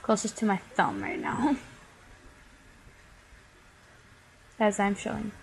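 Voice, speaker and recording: A woman's voice: medium pitch, very animated, extremely slow, creaky, slight background noise, poor audio. A young woman, stuffy from a cold, chattily talking through a bead craft tutorial step by step. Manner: chatty, many "like" fillers.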